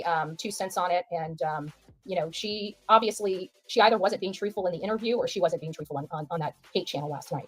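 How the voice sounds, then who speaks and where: A woman's voice: lowish voice, a little mellow, slightly rough, no echo. A woman giving informal livestream commentary, emphatic and repeating phrases for stress.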